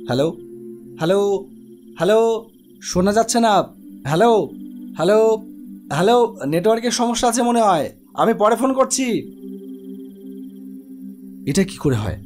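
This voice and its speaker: A man's voice: high-pitched, expressive, normal speed, pauses often, darkish tone, soft background music. A man voicing an anxious young man in a horror cartoon, with colloquial, grumbling speech. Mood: confused, worried. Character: anxious young man searching for missing friend. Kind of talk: Bengali cartoon horror story voice acting.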